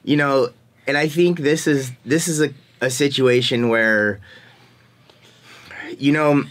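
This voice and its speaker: A man in his forties hosts a casual podcast chat in a mid-pitched voice. He rambles along, laughs easily and loses his thread now and then. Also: takes it slowly, a bit bright. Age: 40s. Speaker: podcast host.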